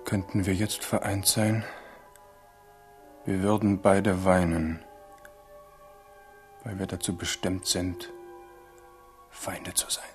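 A man's voice: low voice, flat delivery, slow pace, pauses often, music in the background. An actor in a German radio play playing an impulsive, wry young king, commanding yet lovelorn. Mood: tender, resolute.

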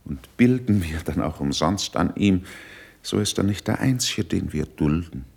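An actor playing a proud older statesman in a classical radio verse drama, with measured, emphatic declamation. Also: deep voice, expressive, gravelly, a little mellow, breathy edge, exaggerated voice. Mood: composed, conciliatory. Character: proud, worldly older male statesman, critical yet controlled.

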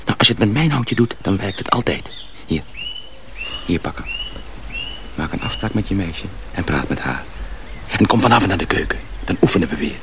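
A man acting in a radio drama as a calm, secretive friend and mentor, quietly cautioning and reassuring. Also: sits low, moderate pace, long pauses, background noise.

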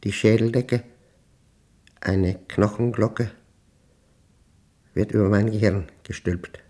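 A methodical, troubled professor in a radio play. His voice sits fairly low and moves very slowly. Each phrase is set down as a precise, pedantic fragment, like a private diary of nightmares. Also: mellow. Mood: hushed, entranced. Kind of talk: German radio play monologue.

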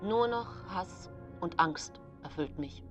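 A woman's voice: fairly low pitch, expressive, slow, soft background music. An actress playing an embittered, pleading woman in a grim historical radio drama. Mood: penitent.

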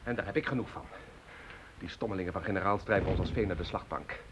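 An actor playing a distraught, despairing young French soldier in a Dutch radio drama, pleading and fatalistic. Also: male voice, low voice, fast, fairly bright tone. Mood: bitter despair. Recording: dull, hissy old radio play recording.

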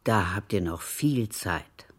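Very deep, husky devoted maidservant. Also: female voice, expressive, full character voice. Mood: respectful. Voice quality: husky, breathy. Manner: tender, unhurried, simple. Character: devout, illiterate, loyal maidservant mourning her lost soldier. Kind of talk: German radio play acting.

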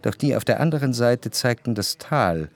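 A man acting as a refined, reflective narrator who recalls society life in measured, lyrical radio-play narration. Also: mid-range voice, lively intonation, close to an everyday voice. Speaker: first-person narrator of a radio drama.

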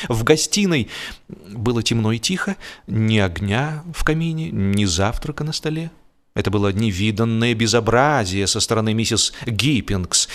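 A man narrates humorous literary fiction with theatrical expression. His mid-pitched voice rises and falls widely, giving the storytelling an animated, dramatised feel. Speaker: audiobook narrator.

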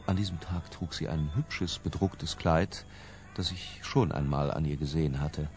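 A wry middle-aged man of letters narrates a radio play in the first person. His voice is deep and measured, over faint music. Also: fairly even intonation, darkish tone. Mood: wry, reminiscing.

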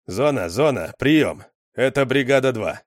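A man voicing a tough, commanding middle-aged male gang leader who gives gruff orders. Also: mid-range voice, expressive, unhurried, no echo, studio quality, voice pushed up, lightly acted.

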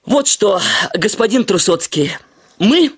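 Нагловатого юнца, высокий хрипловатый голос. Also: male voice, high voice, expressive, slow pace, slightly rough, exaggerated voice. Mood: self-assured. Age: young adult. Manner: curt, formal, pompous, with hesitant "eh" interjections. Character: cocky, brash young suitor, blunt and self-important. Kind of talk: Russian radio play acting.

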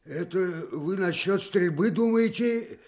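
Slow, shifty older merchant. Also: male voice, high voice, a little mellow. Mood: wheedling, protesting. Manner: stammering, protesting, grumbling. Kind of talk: Soviet radio drama acting.

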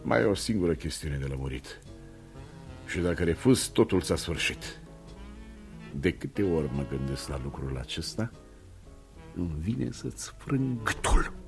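An actor in a radio play playing a stern, blunt older businessman and protective father-in-law, speaking in a commanding, deliberate manner. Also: low-pitched, big pitch swings, vocal fry, heavily acted. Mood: menacing. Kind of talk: radio theatre acting. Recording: music behind a radio play.

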